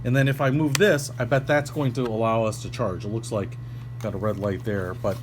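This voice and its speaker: A man's voice: mid-range voice, fairly even intonation, brisk. Casual male product reviewer, noisy humming recording. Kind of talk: casual unboxing review, ad-libbed. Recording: clearly noisy recording with hum.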